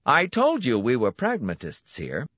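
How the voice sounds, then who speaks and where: A man voicing a smooth, smiling, menacing clinic director who relishes his words. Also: medium pitch, wildly swooping pitch, unhurried, fairly bright tone, no echo, muffled recording, pitched above the performer's own voice, exaggerated voice. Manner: silky, pointed, relishing emphasis.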